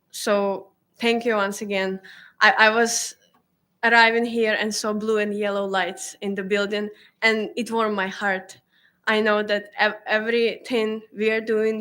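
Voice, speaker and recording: A woman's voice: mid-range voice, fairly bright tone. A woman from Ukraine, giving earnest, grateful remarks at a podium microphone in a town council chamber, in simple English. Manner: earnest and grateful, simple non-native phrasing. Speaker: resident speaking at public comment. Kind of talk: prepared remarks at a council meeting.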